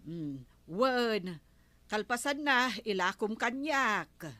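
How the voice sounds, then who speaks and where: A woman's voice: on the high side, expressive, slow pace, sharp tone, no echo, full character voice. A woman playing a chatty, bargaining village neighbour in a Filipino radio comedy drama. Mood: friendly, haggling.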